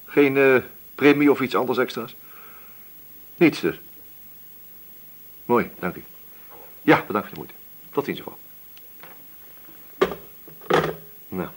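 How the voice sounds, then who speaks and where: Shrewd, husky police inspector, animated pitch. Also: male voice. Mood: businesslike. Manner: brisk, questioning, authoritative. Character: shrewd, probing, sceptical male police inspector. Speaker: actor in a radio play. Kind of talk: Dutch radio drama acting.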